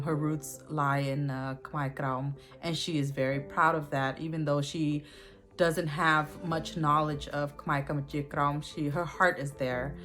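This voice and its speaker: Woman in her forties, low voice, thoughtful, pauses with um and uh, faint music behind. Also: a bit bright. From born in a Thai border refugee camp, raised in the U.S. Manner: thoughtful, with many ums and uhs. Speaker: guest sharing family history. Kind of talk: recorded personal testimony.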